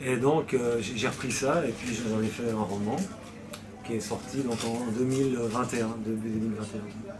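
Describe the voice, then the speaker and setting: A man's voice: mid-range voice, fairly even intonation, moderate pace, crowd noise. A man from Switzerland, a novelist answering interview questions in a hesitant way, with many fillers and restarts.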